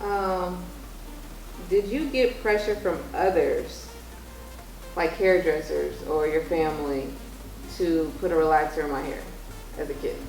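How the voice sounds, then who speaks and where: Low-voiced young woman, echoey room. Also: unhurried, long pauses, a little mellow, soft background music. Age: young adult. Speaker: vlog host interviewing her mother. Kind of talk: casual question-and-answer chat. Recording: echoey room, distant mic, faint noise.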